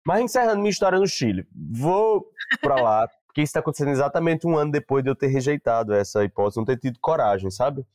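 Animated, laughing man, dry sound. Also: mid-range voice, quick pace, dry recording. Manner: animated storyteller, laughs often. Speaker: podcast co-host. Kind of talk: casual chat among friends.

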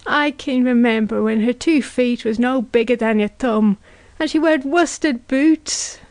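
A woman voicing a coarse, self-pitying mourning mother in an audiobook, with a high, strained, moaning delivery. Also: darkish tone. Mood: wailing grief. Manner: moaning, dirge-like, drawn-out. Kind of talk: literary audiobook character acting.

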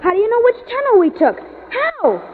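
Worried little boy, super high voice, whiny n scoffing, goes up and down a lot, tinny old radio. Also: huge pitch swings, moderate pace, heavily acted. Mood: worried, insistent. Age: child. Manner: fretful, scoffing, slangy. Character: cautious, whiny young boy, anxious to go home. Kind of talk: 1950s radio drama acting. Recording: tinny hissy 1950s radio recording.

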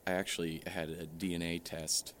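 Low, flat, halting man. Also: heavy background noise. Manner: hesitant, halting, full of uh and restarts. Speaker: interview guest. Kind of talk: comedic mock interview.

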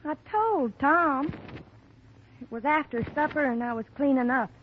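High-voiced troubled country hired girl. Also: measured pace. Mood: shaken, reluctant. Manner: plain uneducated country speech. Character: troubled, defensive young ranch hired girl, about twenty. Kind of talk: 1950s radio drama acting. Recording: muffled old radio recording, faint noise.

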